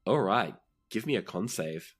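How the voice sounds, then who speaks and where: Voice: sits low, expressive, a little slow, husky, soft background music. A game master with an easygoing, conversational manner, narrating a tabletop roleplaying game.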